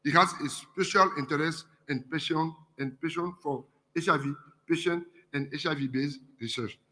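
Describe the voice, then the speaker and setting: Man, session chair, formal; bright voice, echoing hall. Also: fairly high pitch, normal speed. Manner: formal, introduces speakers, some repetitions. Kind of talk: conference moderation. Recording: reverberant conference hall.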